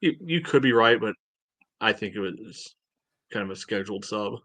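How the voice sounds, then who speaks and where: A man co-hosting a sports podcast, giving casual analysis in a halting, thinking-aloud way peppered with "you know". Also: on the low side, animated, a bit bright, no room sound.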